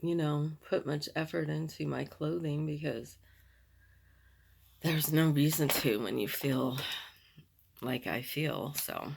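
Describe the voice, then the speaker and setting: A middle-aged woman with a raspy voice, chatting candidly while doing her makeup, in a slow, rambling manner. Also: deep voice. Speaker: beauty vlogger.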